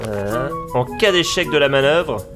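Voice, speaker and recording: Medium-pitched man, acting, offhand team leader, blunt, colloquial, music behind, comedy audio drama. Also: animated, measured pace, lightly acted. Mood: casual, matter-of-fact. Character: casual, blunt start-up team leader chairing brainstorming. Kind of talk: comedic French audio drama, casual dialogue.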